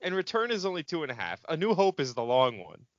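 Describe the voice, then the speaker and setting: A man talking casually as a podcast co-host, telling stories in an exasperated, animated way. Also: fairly high pitch, fast. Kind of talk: casual chat. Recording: dull lo-fi podcast audio, dry.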